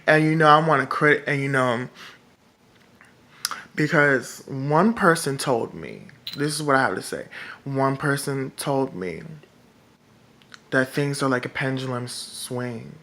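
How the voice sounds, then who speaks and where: A man with a feminine-sounding voice speaks slowly and haltingly, with a gravelly edge and a bright, tense tone. He rambles through his thoughts, stopping and restarting often. It sounds like a casual monologue for a vlog. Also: medium pitch. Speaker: political vlogger.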